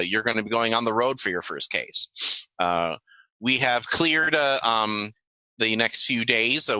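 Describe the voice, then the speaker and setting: A man plays a female government agent running a briefing in a tabletop game. The delivery is halting, wry and businesslike, with a very bright, forceful tone in a dry, close recording. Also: fairly low pitch, dry recording, low-quality recording. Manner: halting, dryly joking.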